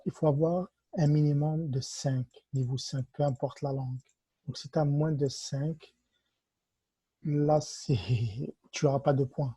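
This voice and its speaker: Husky dark-toned man. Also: a little high, no room sound, rough recording. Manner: explains step by step, talks straight to the viewer and gives advice. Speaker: video tutorial host. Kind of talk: casual explainer walking through a website.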